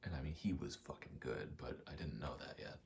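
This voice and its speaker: A man in his twenties doing a casual ASMR gaming ramble, soft-spoken and often whispering, in a halting, meandering way. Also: a bass voice, animated, gravelly. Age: 20s. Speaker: ASMR gaming video host. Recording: faint noise, game button clicks. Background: controller clicking, faint background noise.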